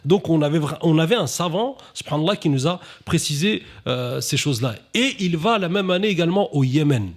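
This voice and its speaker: A man hosting a live talk, animated, who often drops Arabic religious phrases and lifts his voice to stress points. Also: a little fast, few pauses. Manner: animated, rising pitch when stressing points. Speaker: conference host. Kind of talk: conversational talk on stage.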